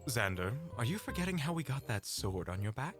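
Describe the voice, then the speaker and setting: A man's voice: mid-range voice, big pitch swings, a little fast, over music, lightly acted. A man playing a stern, blunt adventurer in an audio roleplay. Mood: dry.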